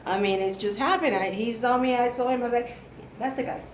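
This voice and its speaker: A woman's voice: a little high, quick pace. Middle-aged Ecuadorian woman, noisy echoey room. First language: Spanish. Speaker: guest, real estate broker. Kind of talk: casual conversational interview. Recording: echoey room, clear background noise.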